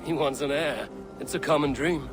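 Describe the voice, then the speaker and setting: An actor playing a dry, steady Viking warrior in a video game cutscene, deadpan and teasing. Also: male voice, fairly high pitch, normal speed, loud music behind the voice, lightly acted. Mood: calm, probing.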